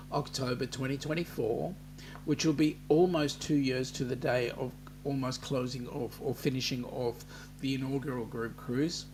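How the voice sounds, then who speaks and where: An Australian man hosting a casual live-stream chat, reading viewer comments aloud in an unhurried way with plenty of ums. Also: mid-range voice, background noise.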